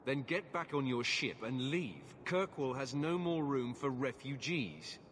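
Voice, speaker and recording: A weary guard captain who turns people away in a curt, firm voice that rises and falls a lot. There is a faint ambient hum behind him. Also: male voice, mid-range voice, animated, unhurried, fairly bright tone. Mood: stern. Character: weary male city guard captain, blunt. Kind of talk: video game cutscene acting.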